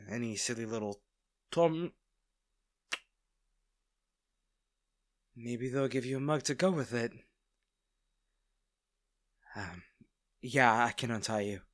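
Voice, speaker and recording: A man's voice: medium pitch, no room sound, clean studio sound, natural voice. A man playing a tender, nervous villain in an intimate audio roleplay, stammering and hesitant as he speaks to the listener. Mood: playful, smitten. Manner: hesitant, stammering, soft-hearted. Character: smitten masked male villain, nervous, tender, teasing.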